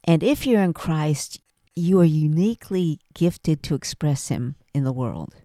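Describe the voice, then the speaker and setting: Low, mellow-voiced woman podcaster. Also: sing-song, unhurried, no echo, clean studio sound. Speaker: podcast co-host and storyteller. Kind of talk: casual, earnest faith discussion.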